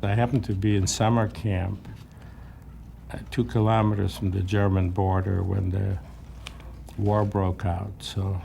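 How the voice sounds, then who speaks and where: A man's voice: low-pitched, flat delivery, unhurried, creaky, mellow, slight background noise. An older man from Lithuania, telling his story in a wry, matter-of-fact interview. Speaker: Holocaust survivor interviewee. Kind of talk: testimony interview.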